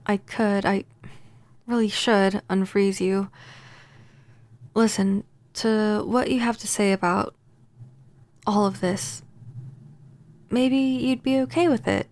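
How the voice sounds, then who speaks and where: A woman playing a pining, possessive vampire neighbor in a soft-spoken, intimate ASMR roleplay, gentle and halting. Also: medium pitch, fairly even intonation. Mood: hesitant, sorrowful.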